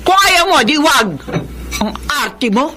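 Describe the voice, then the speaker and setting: A middle-aged mother in a Tagalog radio drama, sharp-tongued and secretive. Her voice sits fairly high, over clearly noisy ambience. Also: animated, slow pace. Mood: exasperated, scolding. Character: older mother in a secret affair, impatient, sharp-tongued caregiver.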